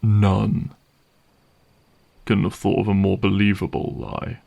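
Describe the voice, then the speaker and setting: A man playing a cynical, menacing vampire hunter in an audio roleplay, speaking deliberately and sardonically with a gravelly voice. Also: lowish voice, completely flat delivery, mellow, exaggerated voice. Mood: probing, threatening. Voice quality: gravelly, creaky.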